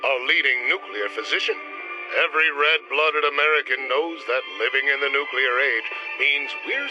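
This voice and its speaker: An actor playing a confident, didactic physician giving a patriotic 1950s radio advertisement testimonial. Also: male voice, a little high, poor audio. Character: earnest retro male physician endorsing products, reassuring and patriotic. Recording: music bed behind voice.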